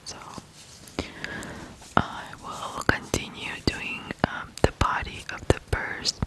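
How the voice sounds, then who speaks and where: An ASMR host from Ukraine, telling stories in a hushed whisper with frequent pauses between short phrases. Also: low-quality recording. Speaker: ASMR video host and storyteller. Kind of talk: whispered ASMR true crime storytelling.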